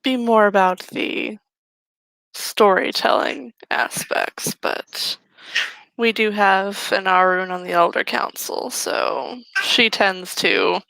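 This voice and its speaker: This is a confident female werewolf showing a newcomer around her pack, voiced by a woman in a tabletop game. She talks in an unhurried, matter-of-fact way with a gravelly rasp. The recording is close and dry. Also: mid-range voice, sharp tone, no room sound, heavily acted, low-quality recording. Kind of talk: in-character roleplay.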